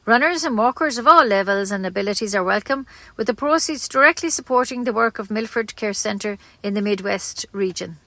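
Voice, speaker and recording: A woman's voice: medium pitch, lively intonation, fairly quick, pushed and bright, no echo. An Irish woman reading a scripted local news bulletin as a community radio newsreader.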